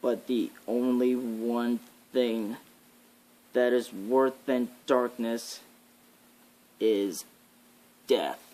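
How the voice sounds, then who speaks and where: A man voicing a menacing devil in an ASMR horror roleplay, with an ominous, drawn-out, theatrical delivery. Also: mid-range voice, steady tone, dark tone, rough recording. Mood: brooding menace. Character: menacing devil, a living nightmare preaching fear and death.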